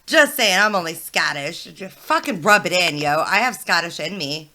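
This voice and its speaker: A woman hosting a casual livestream chat, swearing freely and filling her talk with "like" and quick laughs. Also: lowish voice, expressive, sharp tone. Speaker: stream host.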